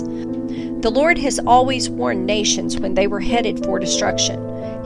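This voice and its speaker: A woman announcer reading a scripted promotional advert. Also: mid-range voice. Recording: loud instrumental music under the voice.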